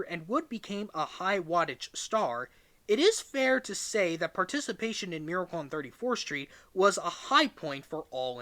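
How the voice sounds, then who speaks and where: Bright, animated man reading aloud. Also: sits high. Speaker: reader, narrator. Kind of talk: scripted narration, reading a book aloud.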